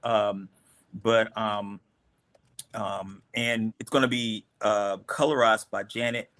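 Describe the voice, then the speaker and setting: A man who creates comics, hosting a casual livestream chat and talking haltingly with many pauses and restarts. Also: lowish voice, fairly even intonation, fairly bright tone, no echo. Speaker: comic creator and show host.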